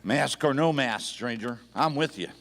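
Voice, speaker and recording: A man playing a folksy, animated sheriff. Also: mid-range voice, unhurried, vocal fry, a little hiss or hum. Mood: hearty. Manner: folksy, emphatic. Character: plain-spoken, stubborn small-town Western sheriff. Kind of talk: old-time radio western acting. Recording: echoey live theatre hall.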